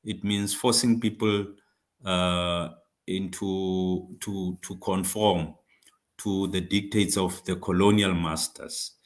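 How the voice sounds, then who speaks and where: A man from South Africa whose first language is not English, speaking to comrades in a discussion, halting with frequent fillers and restarts. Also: low voice, fairly even intonation. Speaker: speaker on labour politics.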